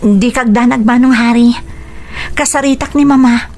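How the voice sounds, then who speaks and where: An actress playing a dutiful young Ilocano daughter, earnest and pleading, in a radio drama. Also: female voice, a little high, fairly even intonation, moderate pace, a little mellow, slight background noise, natural voice.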